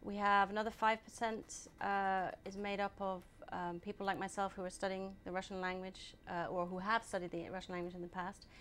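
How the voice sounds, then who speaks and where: A woman born in England, answering interview questions at a steady, measured pace and often pausing on ums. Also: medium pitch, slight background noise. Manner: measured, hesitant with many ums. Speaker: guest, group founder.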